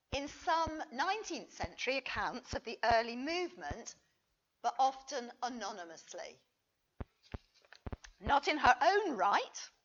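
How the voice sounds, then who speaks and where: A woman reading a history paper aloud as a conference speaker, expressive and emphatic, with a wry touch. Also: high voice, highs cut off, a little hiss or hum. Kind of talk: prepared lecture read aloud to an audience.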